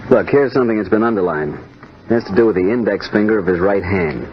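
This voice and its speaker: An actor playing a matter-of-fact homicide detective in a 1950s radio drama, talking in a clipped, deliberate way. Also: male voice, lowish voice, slight background noise, lightly acted. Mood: businesslike. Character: matter-of-fact, hard-boiled homicide police sergeant. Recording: dull old radio recording.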